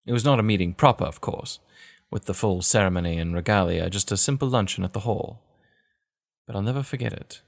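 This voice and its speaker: An actor playing a shaken, reflective gentleman in a period audio drama, reading his letter in literary, measured phrases. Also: lowish voice, natural voice.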